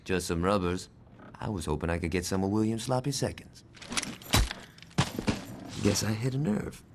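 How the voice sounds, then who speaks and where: Cocky, animated gangster. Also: male voice, sits low, normal speed. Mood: taunting. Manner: taunting, swaggering. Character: cocky, wisecracking male gangster. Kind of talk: video game cutscene acting. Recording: clear background noise.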